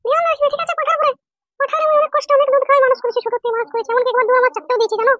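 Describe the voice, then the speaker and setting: Cartoon village mother-in-law: extremely high, squeaky, very fast, bossy and nagging. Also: fairly bright tone, no echo, lo-fi sound. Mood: bossy, coaxing. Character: scolding village mother-in-law, goat owner, bossy and coaxing. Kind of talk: comedy cartoon dialogue.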